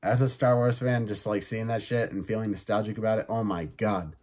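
A man with a somewhat low voice chats casually through his review, full of fillers and the odd curse. His throat sounds a bit sore, which gives him a light rasp. He talks in a loose, easygoing way. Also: steady tone, fairly quick, a little mellow. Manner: loose and chatty, swears, many fillers. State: slightly raspy, sore voice. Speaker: podcast host reviewing films and shows.

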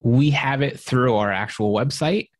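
A man on a podcast panel, explaining in a relaxed, practical way how he prepares guests. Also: mid-range voice, a little slow, dry recording. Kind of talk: casual panel chat.

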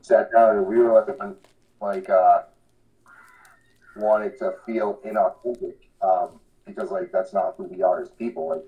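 A man's voice: lowish voice, fairly even intonation, unhurried, phone-quality sound. A man giving casual, slangy interview answers as a podcast guest, earnest and rambling. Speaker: podcast guest, hardcore band vocalist.

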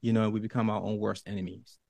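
A man's voice: fairly low pitch, little pitch movement, fast talker, vocal fry, dark tone, no echo. A man from Liberia speaking as an interview guest in an earnest, self-assured, emphatic motivational way. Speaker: interview guest, professional dancer and author.

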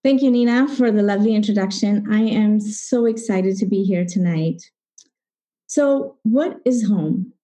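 Middle-aged woman from zambia telling her own story, warm mellow tone, asks lots of questions and acts out the back and forth. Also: mid-range voice, measured pace. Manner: reflective, poses rhetorical questions, acts out dialogue. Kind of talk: personal storytelling at a live event.

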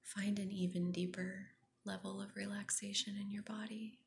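A woman guiding a meditation in a soft, breathy near-whisper, gentle and soothing. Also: mid-range voice, flat delivery, moderate pace, slight background noise. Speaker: meditation guide, podcast host. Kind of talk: guided meditation.

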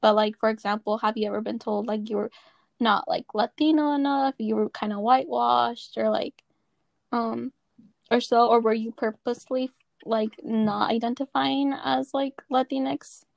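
A young woman moderates a student panel with a long, rambling question full of fillers. Her voice is a little high, with plenty of vocal fry. The sound is dry and close. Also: fairly even intonation, moderate pace, dry recording. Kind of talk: casual panel discussion.

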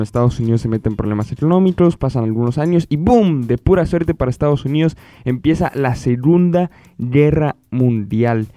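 A man's voice: mid-range voice, warm tone, no echo. A man hosting a conversational news commentary podcast, addressing listeners directly and asking them rhetorical questions. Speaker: podcast host. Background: background music.

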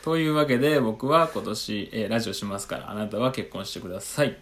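A man's voice: on the low side, animated, fairly quick, a bit bright. A man, a podcast co-host explaining things politely and matter-of-factly in a comic skit. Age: late 20s. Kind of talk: radio-drama style comic skit dialogue.

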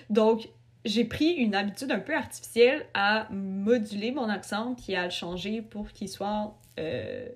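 A young adult woman with a Québécois French accent, a writer chatting as a podcast guest, talking casually with frequent fillers and quick laughs. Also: medium pitch.

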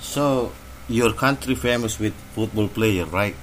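Indonesian guy mid 30s, lowish voice, indonesian accent, casual english chat, bit of noise on the call. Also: a little slow. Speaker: English learner in conversation practice. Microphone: online voice call. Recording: noisy online call.

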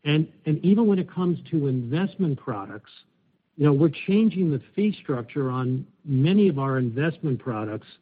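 A man's voice: a little high, lively intonation, moderate pace, husky. A man, a finance executive, explaining business results on an earnings call. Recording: thin, tinny phone line.

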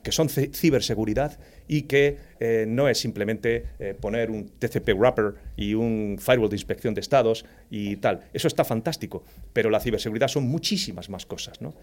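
Passionate rambling guest. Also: male voice, fairly low pitch, a little fast. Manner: passionate, rambling, lots of fillers. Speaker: cybersecurity guest. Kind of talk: casual interview talk.

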